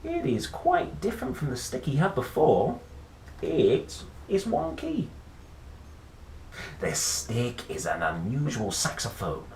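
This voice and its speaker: A man reads a picture book to children with playful, swooping expression and an unhurried pace. His delivery is animated, slipping into chatty asides to the listener. The room adds a clear echo around his voice. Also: medium pitch. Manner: playful, expressive, dramatic pauses and exclamations. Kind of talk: animated storybook read-aloud with chatty asides. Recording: roomy echo, faint background noise.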